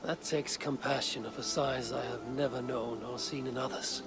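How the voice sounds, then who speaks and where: A man playing a stoic Viking warrior from a historical video game, with a gravelly mid-range voice. The delivery is measured, solemn and plain-spoken, over music. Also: medium pitch, fairly even intonation, lightly acted. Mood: respectful. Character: stoic, warm-hearted Viking warrior leader of a clan.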